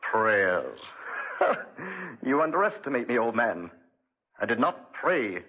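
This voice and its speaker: Husky, bitter avenger, old radio. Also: male voice, medium pitch, sing-song, slow pace, exaggerated voice. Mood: gloating, vengeful. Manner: theatrical, gloating then brooding. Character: bitter, vengeful man consumed by revenge and conscience. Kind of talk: 1940s radio drama acting. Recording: dull, muffled 1940s radio recording.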